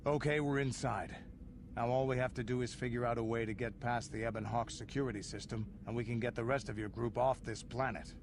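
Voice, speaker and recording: An actor playing a tough, pragmatic male mercenary in a video game, with a gruff, blunt manner. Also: mid-range voice, fairly even intonation, a little fast, noisy background, close to an everyday voice. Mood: businesslike. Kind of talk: dramatic video game acting.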